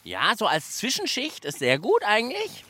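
A man's voice: sits high, wildly swooping pitch, moderate pace, pushed and bright, husky. A middle-aged man, hosting a children's radio show outdoors in a park, chatting casually and thinking aloud with fillers. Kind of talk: casual on-location radio chat.

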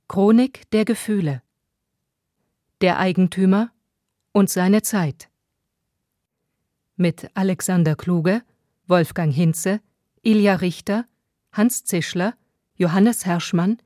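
A woman's voice: on the low side, warm tone, no echo, clean studio sound. A woman making a scripted radio announcement as the reader of production credits.

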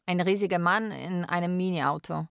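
A woman playing a carer who narrates a daily routine in a radio drama, measured and matter-of-fact. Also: lowish voice, vocal fry, fairly bright tone, smooth, no echo, studio quality.

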